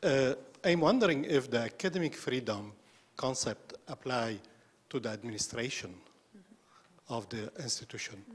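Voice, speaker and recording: A man from Lebanon asks a brief question from the audience in a large lecture hall, speaking with halting phrasing. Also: medium pitch, breathy edge. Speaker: audience member asking a question. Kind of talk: question from the floor.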